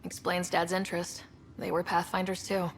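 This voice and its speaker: A woman's voice: fairly low pitch, completely flat delivery, normal speed, a little hiss or hum, close to an everyday voice. A woman playing a young, curious space explorer in a video game, talking casually with dry, teasing humour. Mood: wry, curious. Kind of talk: scripted video game dialogue.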